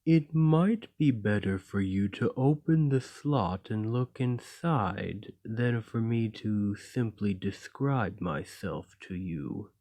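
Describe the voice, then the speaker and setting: Man voicing a slow, formal, gentle golem. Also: fairly low pitch. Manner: formal, measured, courteous, no contractions. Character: gentle, lonely, imprisoned male golem, politely formal. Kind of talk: ASMR roleplay acting.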